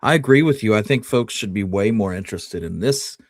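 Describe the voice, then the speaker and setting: A man with a fairly low voice chats as a podcast co-host. He is informal, wondering aloud and putting questions to his partner. The recording is close and dry. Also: lively intonation, fairly quick, no room sound. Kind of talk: casual chat.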